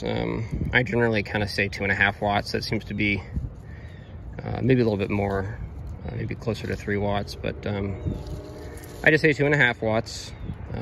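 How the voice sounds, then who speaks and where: A man's voice: lowish voice, vocal fry, a bit bright. A man with an American accent casually demonstrating gear as a presenter, rambling and correcting himself, recorded outdoors on a phone. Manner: hesitant, rambling, self-correcting. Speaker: radio hobbyist presenter. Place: outdoors on a roadside sidewalk. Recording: noisy outdoor recording with traffic.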